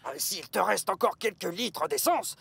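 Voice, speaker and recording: Raspy, high-pitched fretful engine. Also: male voice, lively intonation, normal speed, fairly bright tone, no echo, exaggerated voice. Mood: cautious, fretful. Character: nervous, fretful male train engine. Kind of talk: French cartoon dub acting.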